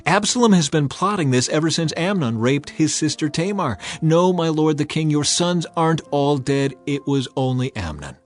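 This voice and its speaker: A man's voice: on the high side, expressive, normal speed, hardly any pauses, soft background music, voice pushed up, lightly acted. A man voicing a confident royal advisor, emphatic and assured, in a dramatized scripture reading. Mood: emphatic reassurance. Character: confident male royal nephew and advisor, reassuring.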